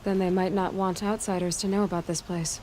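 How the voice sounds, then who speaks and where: An actress playing a shrewd young huntress in a video game, asking questions in a direct, skeptical manner. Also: female voice, lowish voice, little pitch movement, brisk, a little mellow, a little hiss or hum, natural voice.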